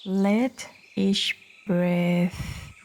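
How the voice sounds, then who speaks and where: A woman narrating a guided meditation in a soft, soothing, breathy manner, leaving long pauses between short phrases. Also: mid-range voice, fairly even intonation. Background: birdsong.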